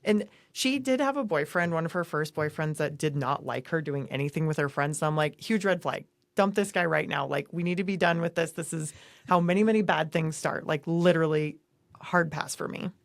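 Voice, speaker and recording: A woman's voice: sits low, brisk, vocal fry, no room sound, studio quality. A middle-aged woman co-hosting a podcast, talking casually and giving emphatic advice. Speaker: podcast co-host. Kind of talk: casual conversational podcast talk.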